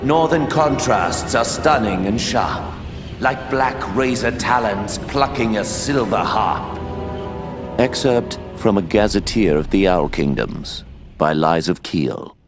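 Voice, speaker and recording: A man narrating a game story in a measured, poetic way, over game music. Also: on the low side, animated.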